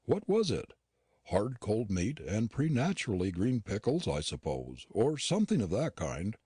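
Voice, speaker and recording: A curt, disdainful gentleman dentist, scornful and impatient, as a male narrator reads him. The voice sits low and husky. It rises and falls a great deal. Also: wildly swooping pitch, normal speed, no room sound, clean studio sound, exaggerated voice.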